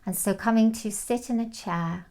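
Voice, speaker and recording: A woman leading a guided meditation in a calm, soothing, unhurried manner, with soft phrase endings and long pauses. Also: on the high side. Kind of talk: guided meditation, gentle instruction.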